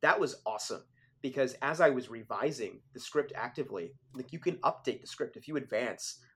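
A young man in mid-range pitch, talking through his experience as a filmmaker in a relaxed interview. He keeps it conversational, sprinkling "like" and "you know" as he thinks aloud. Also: fairly quick, slightly rough. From Philadelphia area, born in Switzerland. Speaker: filmmaker guest. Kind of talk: casual interview talk.

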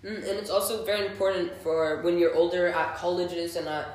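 High-voiced eager male host, echoey. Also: fairly even intonation. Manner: eager, quick affirmations. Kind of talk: casual interview. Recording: very echoey room.

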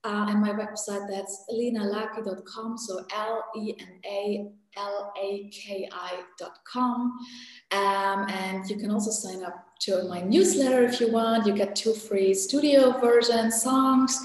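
A woman's voice: medium pitch, fairly even intonation, unhurried. A woman from Germany, a singer-songwriter, speaking casually and gratefully to an online audience. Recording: cavernous echoing reverb, music.

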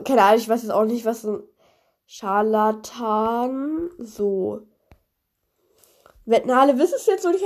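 Young female podcast host, congested with a cold, chatty and meandering, slightly high voice. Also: dark tone. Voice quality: congested, nasal voice. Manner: chatty, rambling, many fillers. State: stuffy cold. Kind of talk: casual retelling of a fairy tale.

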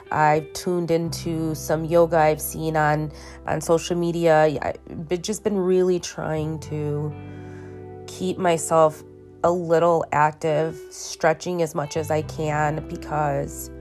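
A woman hosting her own podcast while sick, tired and congested, talking slowly in a halting way with many restarts and ums. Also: low-pitched. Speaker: podcast host. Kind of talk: casual solo podcast monologue. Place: her bedroom at home.

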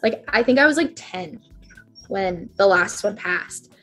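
A woman's voice: mid-range voice, animated, unhurried, fairly bright tone, faint music behind, rough recording. Chatty young woman, heavy with "like". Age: 20s. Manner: chatty, rambling, heavy use of "like". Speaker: podcast guest. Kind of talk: casual podcast chat.

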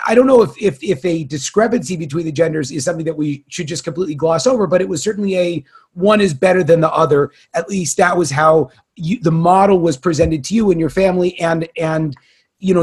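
Male interviewer, slightly high and husky, wordy, halting, rephrasing questions in a conversational style. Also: a little mellow. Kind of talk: conversational long-form interviewing.

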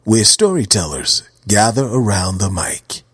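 Husky low male announcer ident. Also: lively intonation, a little slow, fairly bright tone. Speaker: station ident voice. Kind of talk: radio station ident.

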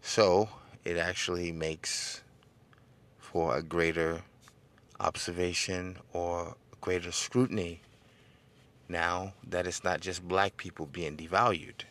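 Smooth-voiced man with gravelly low tone. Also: a bit bright. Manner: conversational, rising into emphatic preaching cadence; addresses listeners directly, seeks call-and-response. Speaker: podcast host. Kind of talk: casual political podcast monologue.

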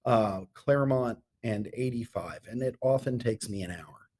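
Husky middle-aged man, enthusiastic and articulate. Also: mid-range voice, normal speed, darkish tone. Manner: articulate, enthusiastic, with ornate turns of phrase. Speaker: theatre artistic director, interview guest. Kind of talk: conversational podcast interview.

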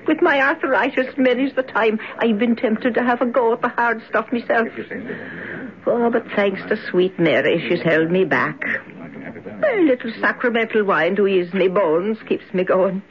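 Superstitious old Irish hospital cleaner, garrulous, folksy, dull vintage radio sound. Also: female voice, on the high side. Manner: folksy, garrulous, muttering to herself. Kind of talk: old-time radio drama acting. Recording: muffled old radio drama recording.

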